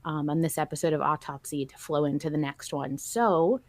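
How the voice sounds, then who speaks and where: A woman's voice: low voice, normal speed. A woman hosting a podcast, chatting casually and enthusiastically as a fan. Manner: enthusiastic, gushing. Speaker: podcast host.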